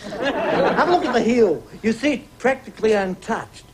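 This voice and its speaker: A woman playing a pompous, bossy but soft-hearted police sergeant in a broad sitcom style. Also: a little high, lively intonation, normal speed, a loud crowd, lightly acted. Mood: bossy, smug. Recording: noisy room with studio audience.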